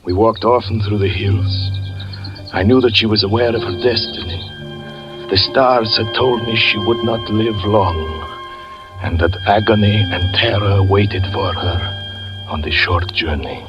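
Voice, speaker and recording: A deep, low-voiced magician tells his tale in slow, solemn tones, as a man plays him. It comes from a dull, muffled old radio broadcast. Strong music runs beneath him. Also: lightly acted. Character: brooding male magician and fortune teller narrating his past. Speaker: narrator. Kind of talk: 1940s radio acting.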